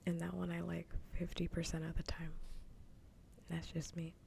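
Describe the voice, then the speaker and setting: A woman streamer chatting casually in a soft, breathy whisper, rambling along in ASMR style on a livestream. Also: on the low side, completely flat delivery, normal speed, slight background noise. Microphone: close ASMR microphone.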